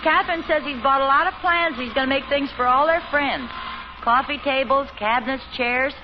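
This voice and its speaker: An actress playing a pleasant, chatty, gently teasing American suburban mother in a 1950s radio sitcom, with an easygoing conversational manner. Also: high voice, a little fast, lightly acted. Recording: muffled old radio recording, faint noise.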